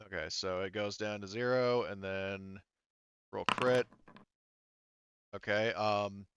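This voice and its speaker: A man running a tabletop roleplaying game as game master, improvising scenes and rules in a halting, stop-and-start way. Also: on the low side, fairly even intonation, highs cut off, noisy background.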